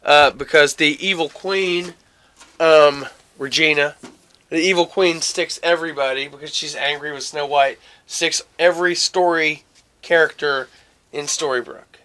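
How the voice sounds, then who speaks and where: A man hosting a casual, rambling podcast chat, haltingly searching for words and often repeating his phrases. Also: fairly high pitch, fairly even intonation, pushed and bright, clear, resonant tone. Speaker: podcast host.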